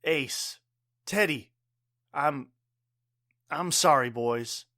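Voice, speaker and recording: A man acting a wounded, bitter father who is secretly a robot-building villain in a pulp radio drama, speaking haltingly and melodramatically. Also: fairly high pitch, pushed and bright, no room sound, studio quality, full character voice. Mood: remorseful, halting.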